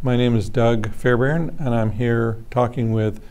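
A man's voice: medium pitch, fairly even intonation, measured pace, a little mellow, smooth. A man interviewing a guest for an oral history, asking plain, orderly questions.

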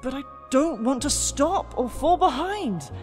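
A woman's voice: sits high, lively intonation, a little slow, darkish tone. A woman with an English accent playing a proud, weary storyteller and reluctant regent, theatrical and hesitant, in a fantasy audio drama. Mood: weary, defensive. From England. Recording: reverberant hall with music.

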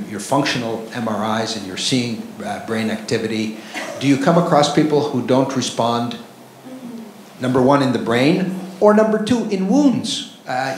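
A man in the audience with a loud voice, asking a long, rambling question full of fillers and restarts. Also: mid-range voice. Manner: rambling, self-deprecating, many fillers. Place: large lecture hall with audience. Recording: echoey hall, faint noise.

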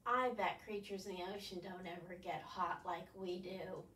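A woman's voice: lowish voice, steady tone, normal speed, fairly bright tone. A woman in her sixties leading a storytime for young children as a librarian, with exaggerated wonder and coaxing questions. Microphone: distant camera microphone. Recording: roomy, distant camera mic, faint noise.

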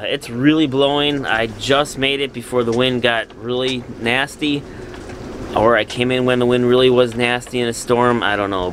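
Middle-aged guy filming himself outside on a sailboat, laid back, rambly, loud wind hitting the camera mic. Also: medium pitch. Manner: relaxed, rambling, thinking aloud. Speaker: solo sailor vlogger. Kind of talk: casual self-filmed vlog. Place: outdoors on a sailboat and a marina dock in strong wind. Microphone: handheld camera close to the face. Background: howling wind and water.

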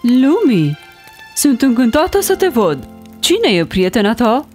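A woman voicing a kind, gracious heroine in an animated fairy tale, with a gentle, sincere manner. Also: on the high side, soft background music.

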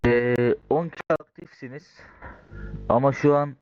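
A man's voice: mid-range voice, fairly even intonation, a little slow, a little mellow, highs cut off, a little hiss or hum. A man acting as an official in an online roleplay game, giving semi-formal instructions over voice chat.

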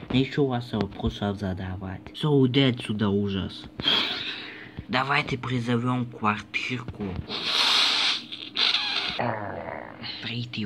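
Man doing a boastful cartoon guy, noisy recording. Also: on the low side. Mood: showing off. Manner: sprinkles speech with a repeated slang filler word. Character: boastful goofy Tajik toy guy with magic powers. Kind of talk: improvised amateur toy cartoon voice-over. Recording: noisy amateur home recording.

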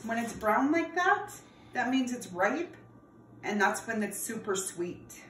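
A woman in her thirties hosting a cooking class for children in a home kitchen. She explains each step as she works and calls her viewers friends. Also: medium pitch. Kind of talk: cooking demonstration for kids. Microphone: camera across the counter, no visible mic. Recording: roomy, distant camera audio with background noise.

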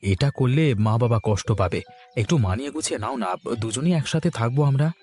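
Man acting a pleading, dark-toned young husband. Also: mid-range voice, lively intonation, fairly quick, faint music behind, close to an everyday voice. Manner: pleading, placating. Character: troubled young husband, pleading and conciliatory son. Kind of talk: Bengali cartoon voice acting.

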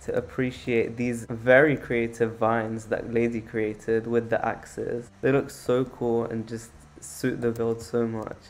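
A man's voice: medium pitch, flat delivery, slow, few pauses. A man co-hosting a casual game tour, talking in a teasing, playfully boastful way. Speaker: co-host of a Roblox build tour.

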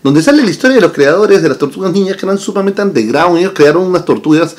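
A man, chatting casually to camera as a vlog host in a room full of toy shelves, lively and full of tag questions. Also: sits high, fast. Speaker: channel host. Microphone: fixed camera at desk distance.

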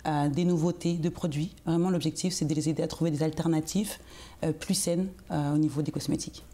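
A woman's voice: low voice, steady tone, brisk, mellow. A young woman from France, a guest in a TV studio interview, explaining earnestly with many fillers. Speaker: guest, cosmetics entrepreneur. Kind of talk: interview answers.

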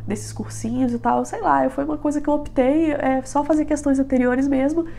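A woman's voice: high voice, fairly quick, vocal fry. A young woman in her twenties with a nasal, stuffy voice from a head cold, chatting casually to camera in a small bedroom, giving study advice with frequent filler tags. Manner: chatty, lots of filler tags, animated hand gestures. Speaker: vlogger.

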